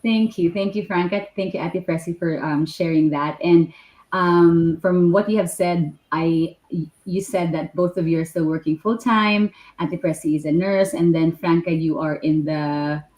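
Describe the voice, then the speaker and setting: A woman with a Filipino accent hosts an online interview. Her voice sits a little low for a woman, and the room around her rings with clear echo. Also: steady tone, moderate pace, darkish tone. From Philippines. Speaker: host. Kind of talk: hosting a live online interview. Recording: echoey room.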